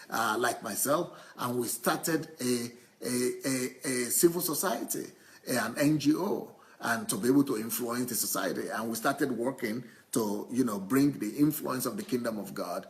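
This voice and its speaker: Man interpreting haltingly, phrase by phrase. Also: medium pitch. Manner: halting, repeating and rephrasing phrases as he goes. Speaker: interpreter translating a testimony into English. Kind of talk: live consecutive interpreting of a church testimony.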